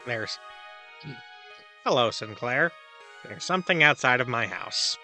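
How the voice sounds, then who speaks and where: Casting: male actor for a breezy, self-assured god hosting a comedy advice podcast, very crisp bright edge, theatrical emphasis, music underneath. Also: mid-range voice, animated, unhurried, full character voice. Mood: breezy, mock-reassuring, then reading a letter. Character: cheerful deluded god dispensing absurd, dangerous advice. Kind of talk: comedic audio drama monologue, advice show.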